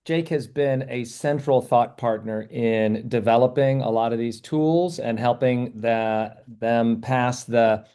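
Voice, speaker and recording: Mellow-voiced man hosting a webinar. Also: medium pitch, steady tone, slow pace, few pauses, smooth. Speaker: webinar host. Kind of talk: webinar presentation and Q&A.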